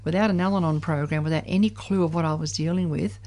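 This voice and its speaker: A woman's voice: deep voice, steady tone, fast, warm tone. A woman of seventy sharing her personal story in conversation, reflective and candid. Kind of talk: personal recollection in group conversation.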